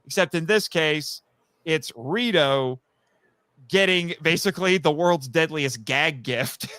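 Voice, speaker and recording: A man's voice: on the high side, slow, fairly bright tone, clear, resonant tone, no room sound. A man talking casually as a podcast guest and audio drama writer, chatty and rambling, with frequent asides.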